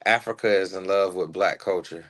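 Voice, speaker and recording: A man's voice: low-pitched, normal speed, a bit bright. A man co-hosting a political livestream, making his case in an emphatic, argumentative, slangy way. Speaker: livestream co-host. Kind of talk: casual political debate chat.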